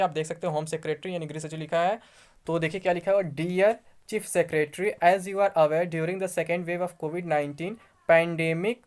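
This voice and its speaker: A woman's voice: lowish voice, darkish tone. A woman with an Indian accent giving an informal news explainer, reading articles aloud and explaining them. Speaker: YouTube exam news presenter.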